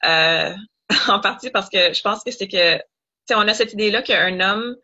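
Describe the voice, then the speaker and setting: A woman's voice: mid-range voice, moderate pace, pushed and bright, muffled recording. A young woman from Ottawa, a doctoral student guest in an interview, explaining at length with many fillers. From Ottawa, Canada.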